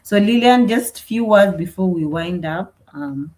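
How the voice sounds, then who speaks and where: A woman's voice: medium pitch, a little slow, a little mellow. A woman hosting a live session, closing it with chatty thanks. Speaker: host.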